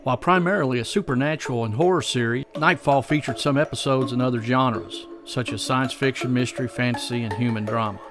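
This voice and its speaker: A man's voice: mid-range voice, a little fast, few pauses. A man hosting a podcast, reading scripted introductions and promotional copy. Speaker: podcast host. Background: faint music.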